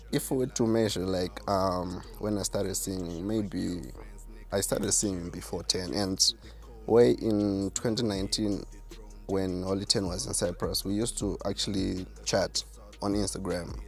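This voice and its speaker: A man with a deep voice, a self-assured artist answering interview questions. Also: steady tone, measured pace, gravelly, a little mellow, soft background music. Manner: self-assured, boastful about his skill. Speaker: rapper and artist, guest.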